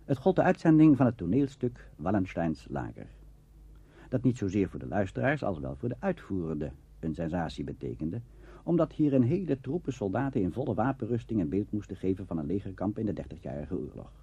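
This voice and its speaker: A man's voice: on the low side, big pitch swings, brisk, mellow, husky, dry recording. A man giving scripted documentary narration in a formal, lecturing manner. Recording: dull, muffled old recording.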